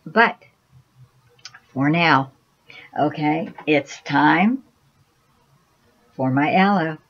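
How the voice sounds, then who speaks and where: Woman vlogger, unhurried rambling story to camera, lowish voice, muffled lo-fi sound. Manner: chatty, rambling, many restarts and laughs. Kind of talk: casual personal storytelling to camera.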